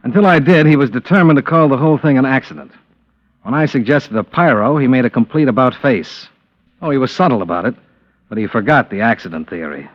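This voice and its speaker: An American actor playing a wry, cocky insurance investigator in old radio detective style, dry and matter-of-fact. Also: male voice, medium pitch, expressive, fairly quick, dry recording, lightly acted. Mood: pondering. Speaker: lead actor and narrator of a radio drama. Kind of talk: 1950s radio detective acting. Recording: dull vintage radio recording, music behind.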